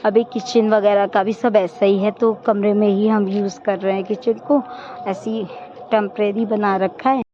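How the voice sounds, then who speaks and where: A young woman with a hoarse voice, chatting casually as a family vlogger. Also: medium pitch, little pitch movement, a little slow, background noise. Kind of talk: casual vlog narration. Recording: dull lo-fi phone audio.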